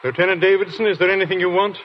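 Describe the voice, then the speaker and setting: A man's voice: sits high, steady tone, very fast, lightly acted. An actor playing a frank, blunt male army major and doctor in a 1940s radio drama. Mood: grave. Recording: muffled 1940s radio broadcast with faint hiss.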